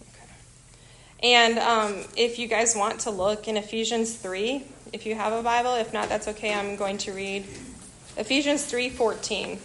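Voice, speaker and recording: A woman from Illinois with a Chicago accent, giving a chatty, animated church talk to a group about a missionary kids program. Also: a little high, a little slow, slight background noise, poor audio. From Illinois, USA. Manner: chatty, animated, self-deprecating asides. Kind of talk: informal church presentation to a group.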